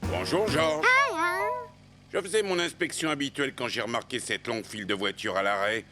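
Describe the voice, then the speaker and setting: An actor voicing an earnest, scolding but kind officer in a children's cartoon, with a lecturing, theatrical delivery. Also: male voice, medium pitch, expressive, normal speed, sharp tone, slight background noise. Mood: puzzled, investigating. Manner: lecturing, theatrical, swinging intonation.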